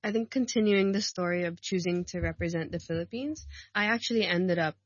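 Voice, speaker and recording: A woman in her thirties, a rugby player and coach, chatting as a guest in a radio studio, warm and laughing often, with many ums and likes. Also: lowish voice, a little fast, husky, dry recording, muffled recording. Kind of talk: casual radio interview. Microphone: studio mic.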